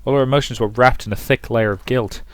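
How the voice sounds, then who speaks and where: A man's voice: mid-range voice, fairly even intonation, fast talker, smooth, no room sound. A man commentating on and reading aloud from a visual novel as a let's play host.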